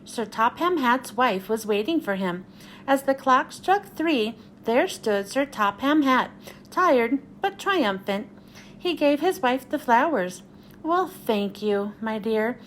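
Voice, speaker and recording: A woman reading a children's storybook aloud in an expressive storytelling manner, giving voice to the dialogue. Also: high-pitched, slow pace, a little hiss or hum. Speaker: children's read-aloud host.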